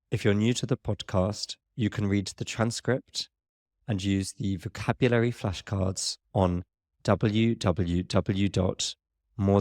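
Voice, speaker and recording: A young adult man with a southern English accent, an English teacher hosting a learner podcast in a clear, measured, explanatory way. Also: deep voice, a little mellow, no echo, studio quality. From England. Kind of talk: scripted podcast narration for learners.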